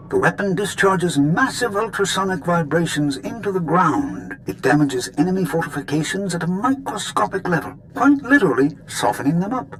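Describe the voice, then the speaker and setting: Pedantic scientist in a game, high voice, very up n down, dramatic explainer. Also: male voice, a bit bright, slight background noise, rough recording. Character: male weapons scientist, pedantic and solemn. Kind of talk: video game cutscene acting.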